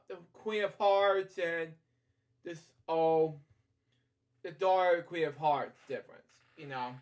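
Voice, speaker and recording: A man with a distinctly high-pitched voice reads a picture book aloud as a bedtime storyteller. His delivery is halting and rambling, slipping from the story into casual, off-the-cuff commentary and back again. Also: sharp tone. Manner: rambling, halting, frequently digressing with asides.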